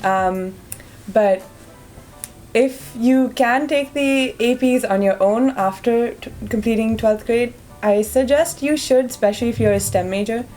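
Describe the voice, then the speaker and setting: A young woman from India, a student guest in an interview, speaking frankly with quick asides. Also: on the high side, darkish tone, faint music behind. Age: young adult. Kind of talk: casual interview answers.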